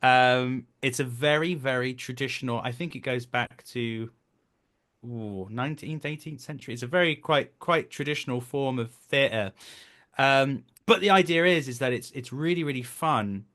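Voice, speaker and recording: A man's voice: mid-range voice, normal speed, fairly bright tone. A British man in his thirties talks casually as a guest on an interview show. He is chatty and explanatory, telling stories in a conversational, performer's way. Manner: chatty, explanatory, breaks into brief acted quotes. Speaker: guest, voice actor and stage performer.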